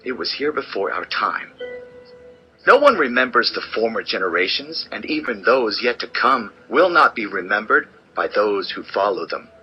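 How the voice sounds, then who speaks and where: A weary, brooding old-world king and teacher, speaks in a lowish voice with solemn, declamatory weight. The sound is slightly dull, with music underneath, as in a dramatized scripture recording. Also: lively intonation, moderate pace, breathy edge. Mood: resigned. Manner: solemn, declamatory, theatrical emphasis. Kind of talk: dramatized audio Bible reading. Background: background music.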